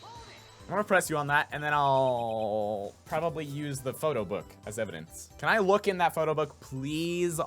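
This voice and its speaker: A man's voice: fairly high pitch, big pitch swings, moderate pace, a bit bright, soft background music. A man commenting as he plays a video game, in a let's play style. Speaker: video game player and voice actor.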